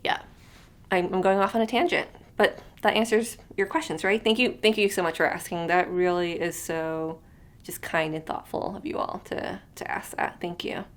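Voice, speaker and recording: A woman's voice: lowish voice, steady tone, moderate pace. A woman in her thirties chatting unscripted to camera as a vlogger, rambling and thinking aloud with lots of fillers, sometimes trailing off into a near whisper. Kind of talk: unscripted casual chat to camera.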